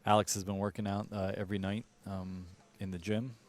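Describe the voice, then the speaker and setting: A man's voice: lowish voice, level tone, a little fast. A man commentating a pool match live, explaining shot choices and position play to viewers.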